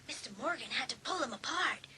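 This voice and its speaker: A woman acts an anxious, gossipy teenage girl in an audiobook drama. The voice is extremely high and sharp, with emphatic delivery and faint noise behind it. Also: animated, brisk, heavily acted. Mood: worried, shocked. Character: worried, gossipy teenage girl and loyal friend.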